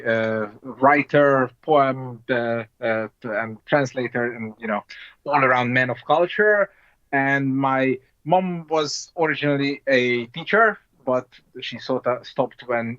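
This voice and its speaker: Through slightly muffled, lo-fi call audio, a man in his thirties chats as an interview guest. He has a Hungarian accent and a mid-pitched voice, and answers in a casual, conversational way. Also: slow pace, fairly bright tone, no room sound. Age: 30s. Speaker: guest, board game designer. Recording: dull, lo-fi online call audio.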